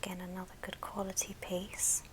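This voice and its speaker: A woman speaking in a breathy, unvoiced whisper, gently presenting items in an ASMR show and tell with pauses between short phrases. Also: on the low side, completely flat delivery, poor audio. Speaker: ASMR video host.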